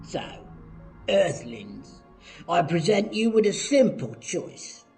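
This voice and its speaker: A man playing a callous, pompous alien spaceship captain in an amateur audio drama, speaking in a deliberate, theatrical way. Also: sits high, big pitch swings, a little mellow, faint music behind, full character voice. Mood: pompous menace. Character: menacing, callous male alien spaceship captain who writes poetry.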